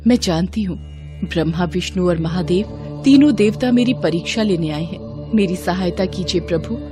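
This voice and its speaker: A woman's voice: lowish voice, lively intonation, normal speed, music in the background, close to an everyday voice. A woman playing a devout, gracious and dutiful sage's wife in a devotional story, speaking politely and earnestly with reverence. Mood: pleading. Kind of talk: devotional Hindi story dramatization.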